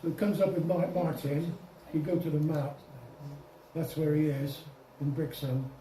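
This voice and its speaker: A man giving an informal software demonstration to a group, explaining step by step with some hesitation and self-correction. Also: fairly high pitch, fairly even intonation, moderate pace. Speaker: presenter at an amateur radio club. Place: indoor club meeting room. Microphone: distant room microphone. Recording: echoey room, distant mic, faint noise.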